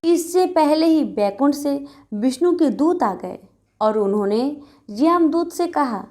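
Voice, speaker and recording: Woman, high voice, slow delivery, scripted devotional storytelling. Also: lively intonation, warm tone.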